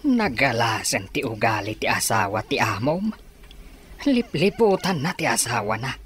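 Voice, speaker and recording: A man's voice: medium pitch, very animated, moderate pace, a bit bright, a little hiss or hum. A man with an Ilocano Filipino accent playing a cautious, moralizing middle-aged father in a radio drama. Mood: disapproving.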